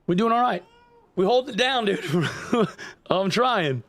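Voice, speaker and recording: A man's voice: high-pitched, animated, a little slow, fairly bright tone, no echo. A man in his forties from upstate New York, chatting casually and profanely with his livestream audience while he games, rambling with lots of "like" and "dude". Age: 40s. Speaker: gaming streamer.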